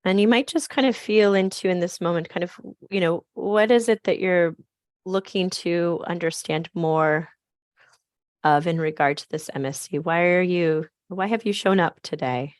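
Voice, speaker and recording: A woman with a scratchy, raspy voice, softly leading a slow grounding meditation on a video call. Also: on the low side, no echo, clean studio sound. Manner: soft, slow, gently inviting. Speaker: program facilitator.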